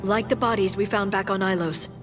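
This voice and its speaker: A woman's voice: mid-range voice, fairly even intonation, fairly quick, close to an everyday voice. Earnest female alien scientist played by a woman, music behind. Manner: earnest, explanatory. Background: background music.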